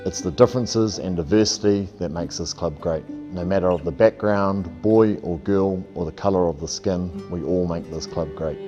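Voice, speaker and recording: A man narrating a club video in a calm, steady, sincere way. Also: deep voice, moderate pace, hardly any pauses, soft background music. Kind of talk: scripted narration. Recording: dull lo-fi audio.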